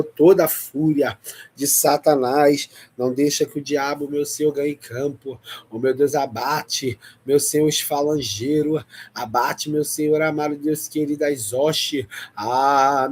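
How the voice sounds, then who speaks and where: A man's voice: a little high, a little mellow. A Brazilian man preaching in fervent, repetitive, pleading prayer, breaking into chanted tongues. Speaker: preacher leading live online prayer. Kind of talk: fervent Pentecostal prayer with glossolalia.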